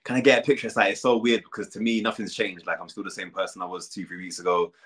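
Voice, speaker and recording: A man's voice: low-pitched, level tone, quick pace, fairly bright tone. A man in his thirties talking casually as an interview guest, full of filler words. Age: 30s. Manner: chatty, lots of "like" fillers.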